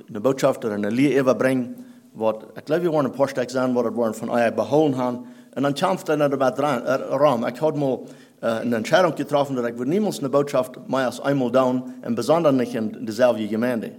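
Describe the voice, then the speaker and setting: A man whose first language is Plattdeutsch, preaching a sermon in halting German that he mixes with English phrases. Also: mid-range voice, a little mellow. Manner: halting, searching for German words, mixing in English.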